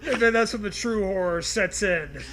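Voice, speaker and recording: A man reading a comedy story aloud with deliberate, mock-dramatic narration and bits of banter. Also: high-pitched, background noise.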